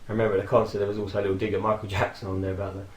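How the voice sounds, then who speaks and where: A man in his forties, hoarse from a throat infection, casually rambling and reminiscing with frequent fillers and laughs. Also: lowish voice, monotone, rapid-fire, roomy sound. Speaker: vlogger. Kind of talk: casual personal tribute monologue.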